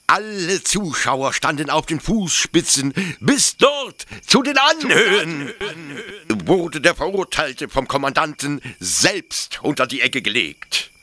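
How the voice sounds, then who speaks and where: An actor playing a fervent, nostalgic officer in a radio drama, theatrical, moving between hushed confiding and exalted declaiming. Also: male voice, sits high, full character voice. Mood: proud, exalted. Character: fervent, nostalgic male officer devoted to brutal old justice.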